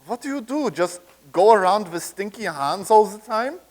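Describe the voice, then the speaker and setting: A man plays an excitable, thin-skinned military officer in a comic stage play. His voice sits high for a man, and he boasts and blusters. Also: normal speed. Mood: chatty, delighted. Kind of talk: staged play reading, comedic.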